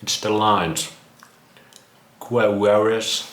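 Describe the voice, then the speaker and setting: A man's voice: on the low side, steady tone, very slow, fairly bright tone. A man with a Finnish accent giving casual, sarcastic reaction commentary, mocking and crude in his wording. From Finland. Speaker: vlog host. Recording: roomy echo, some background noise.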